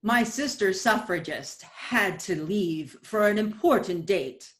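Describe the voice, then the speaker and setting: A woman's voice: mid-range voice, animated, slow pace. A woman giving theatrical scripted narration in a reader's theater, telling it as a dramatic story.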